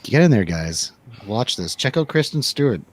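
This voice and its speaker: A man's voice: medium pitch, very animated, normal speed, slight background noise. A man hosting a casual movie review radio show, bantering in a playful, jokey manner.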